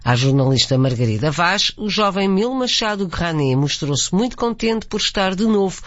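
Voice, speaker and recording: Higher-voiced Portuguese male news presenter. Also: lively intonation, moderate pace, fairly bright tone, dry recording, highs cut off. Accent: European Portuguese. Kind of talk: scripted news narration.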